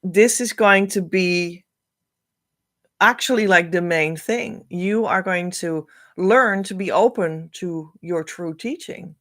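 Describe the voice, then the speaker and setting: A middle-aged woman whose first language is Dutch, giving an oracle card reading as a spiritual coach, speaking to camera in a slow, musing way. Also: lowish voice. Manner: slow, musing, drifts between soft and louder emphasis.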